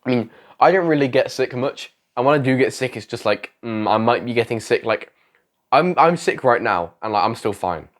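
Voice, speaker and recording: A man's voice: medium pitch, expressive, normal speed. Sick young man rambling vlog advice. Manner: rambling, self-correcting, repeats phrases. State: sick, voice going. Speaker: YouTuber giving advice. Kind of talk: casual talking-head advice vlog.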